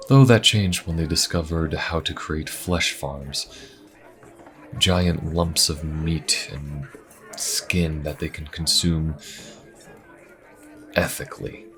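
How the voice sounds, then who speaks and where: A man playing a wry, weary Inquisition agent in a fantasy audio roleplay, talking casually and dryly with musing pauses. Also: very deep voice, fairly even intonation, fairly bright tone, full character voice. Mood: musing, mildly disgusted. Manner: casual, dry, musing, with sighs and hesitant pauses. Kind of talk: fantasy audio roleplay, conversational monologue to the listener.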